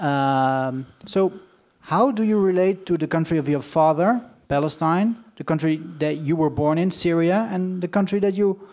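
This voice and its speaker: A man interviewing a guest live, putting his questions in a hesitant, halting way. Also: a little high, darkish tone. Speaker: interviewer, moderator.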